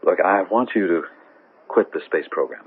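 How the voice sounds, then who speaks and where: An actor playing an earnest, stubborn, hurt fiancé with a husky voice in a 1970s radio drama. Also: male voice, low voice, moderate pace. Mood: insistent, pleading. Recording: narrow lo-fi 1970s radio recording.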